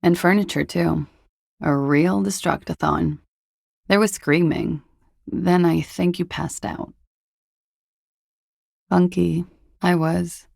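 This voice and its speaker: An actress playing a cool, guarded young woman in a video game, with a husky, breathy, gravelly voice and a languid, dryly understated delivery. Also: on the low side, fairly even intonation, slow, long pauses, darkish tone, no echo, exaggerated voice. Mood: wry, detached. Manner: languid, dry, wryly understated. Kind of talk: video game character dialogue.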